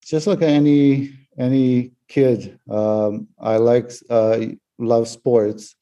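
Man from Bosnia, forties, very dark mellow voice, slow halting speech, podcast guest. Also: medium pitch, fairly even intonation, muffled recording. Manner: slow, measured, with many pauses and fillers.

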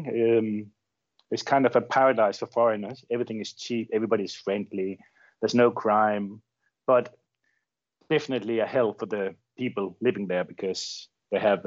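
A middle-aged man from Denmark, a journalist, answering interview questions in a measured, thoughtful way. He often repeats words while he looks for the phrasing. The sound is dull and dry, with no room echo. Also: mid-range voice, darkish tone. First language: Danish. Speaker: journalist guest. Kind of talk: interview conversation.

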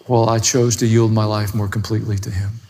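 A man's voice: lowish voice, level tone, fast talker, mellow. Man preaching in a reverberant hall. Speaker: pastor. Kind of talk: sermon and closing prayer. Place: large hall, preaching to a congregation. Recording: echoey hall with long reverb.

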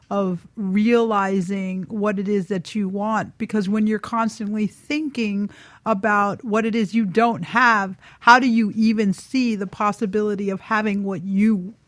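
A woman's voice: medium pitch, fairly even intonation, measured pace, no room sound. A woman speaking earnestly and emphatically in a casual radio discussion, repeating phrases for emphasis.

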